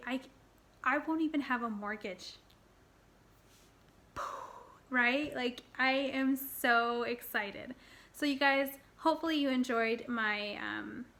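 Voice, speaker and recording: A woman of thirty talking casually to her viewers, excited and rambling, with fillers and restarts. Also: on the high side. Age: 30s. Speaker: personal finance vlogger. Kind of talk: casual talk to camera.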